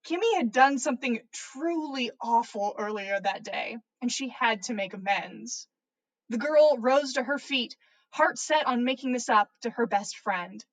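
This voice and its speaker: Animated higher-voiced woman reading a story. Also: unhurried, poor audio. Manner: theatrical, playful, stumbles over words and swears. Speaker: podcast host reading listener fan fiction.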